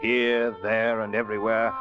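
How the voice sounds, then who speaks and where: A man's voice: fairly low pitch, moderate pace, close to an everyday voice. An actor playing an eager young third mate who also tells his sea tale, in an old-time radio drama style. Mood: wistful, dramatic. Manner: expressive, dramatic storytelling. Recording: muffled old radio recording, loud music.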